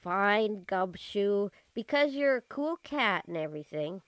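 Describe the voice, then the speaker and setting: A woman's voice: medium pitch, sing-song, unhurried, clear, resonant tone, dry recording. A woman playing a cheeky streetwise girl with slangy, sassy delivery in an old-time radio detective drama.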